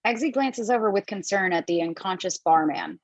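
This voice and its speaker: A woman reading the stage directions in a screenplay table read, brisk and casually playful. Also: medium pitch, animated, no room sound. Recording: dull lo-fi home mic.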